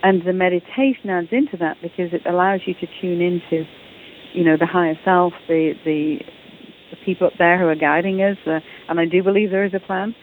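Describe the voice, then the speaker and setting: A woman's voice: on the low side, fairly even intonation, brisk, slight background noise. A woman with an English accent, a guest author talking eagerly and often repeating words as she starts sentences. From England. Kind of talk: casual interview talk. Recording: tinny phone line.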